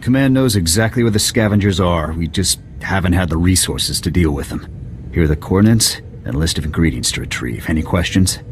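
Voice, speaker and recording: An actor playing a stern, no-nonsense military commander in a video game, brisk and authoritative. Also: male voice, low-pitched, lively intonation, a little hiss or hum. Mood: stern, businesslike. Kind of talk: video game dialogue acting.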